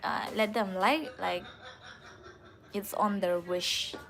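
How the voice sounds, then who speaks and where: A woman's voice: medium pitch, takes it slowly, vocal fry, fairly bright tone. Casual Northeast Indian woman live-streaming. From Meghalaya, India. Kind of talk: casual live-stream chat, reading and answering comments.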